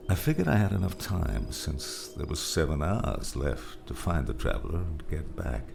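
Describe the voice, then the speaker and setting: Male narrator as weathered older mentor for an adventure audiobook: rock-bottom pitch, gravel, wry musing delivery. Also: big pitch swings, normal speed, noisy background, full character voice. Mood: somber, reminiscing. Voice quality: gravelly, very deep.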